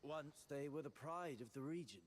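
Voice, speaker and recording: A man's voice: on the high side, animated, normal speed. A man voicing a worried male villager in a video game, musing hesitantly about his troubles. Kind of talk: video game character dialogue.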